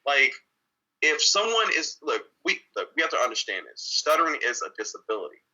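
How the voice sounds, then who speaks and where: A man's voice: on the high side, sing-song, brisk, sharp tone, dull audio. A man speaking as an interview guest in a candid conversation, reflective and earnest, often repeating words and restarting sentences. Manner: talks openly with frequent repeated words and restarts.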